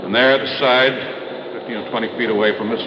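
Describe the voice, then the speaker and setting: An actor playing a matter-of-fact mine manager in an old radio play. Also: male voice, mid-range voice, fairly even intonation, fast talker, highs cut off, close to an everyday voice. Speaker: mine official. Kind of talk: 1950s radio drama acting. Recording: old radio broadcast, roomy with hiss.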